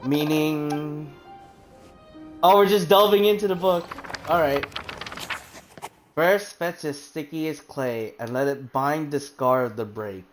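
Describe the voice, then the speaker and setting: A woman's voice: low voice, slow pace, pauses often. A woman streaming a game, chatting casually, laughing often and swearing freely. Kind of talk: casual live game commentary. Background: game music.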